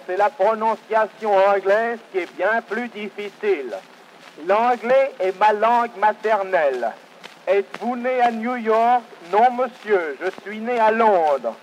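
Slow, high-voiced man reciting drills. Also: a little hiss or hum, rough recording. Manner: measured, repetitive drill recitation. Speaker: language teacher. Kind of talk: reciting pronunciation and verb drills.

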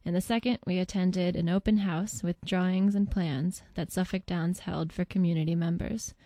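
A woman's voice: lowish voice, fairly even intonation, normal speed, dark tone, no room sound. A woman reading a scripted introduction as a documentary narrator.

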